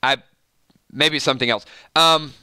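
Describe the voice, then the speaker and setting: Man, Georgia accent, bright voice, smooth and crisp, dry close sound, casual rambling host chat. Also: medium pitch, measured pace, no room sound. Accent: Georgia (US South). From Georgia, USA. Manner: chatty, rambling, laughs often, reads viewer comments. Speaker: show host. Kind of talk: casual live-stream chat.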